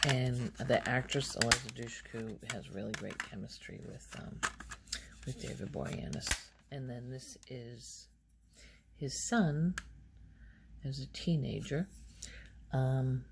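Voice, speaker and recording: A woman speaking softly in casual ASMR style, often slipping toward a whisper, halting as she thinks aloud. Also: extremely low pitch, vocal fry, noisy background. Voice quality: soft, often breaking into whisper. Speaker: ASMR video host. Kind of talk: soft-spoken ASMR, casual rambling show-and-tell.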